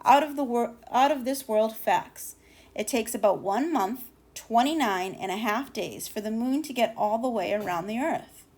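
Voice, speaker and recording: A woman with a fairly high voice reads a children's picture book aloud. Her delivery is expressive and deliberate, leaning on key words for drama, and she occasionally trips over a word.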